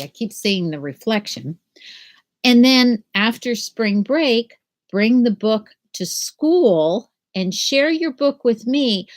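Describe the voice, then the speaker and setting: An older woman, a school principal, reads aloud gently and slowly to young children from a small room at home on a laptop webcam. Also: on the high side. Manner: slow, gentle and animated, like reading to young children. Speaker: school principal reading to students. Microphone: laptop webcam at desk.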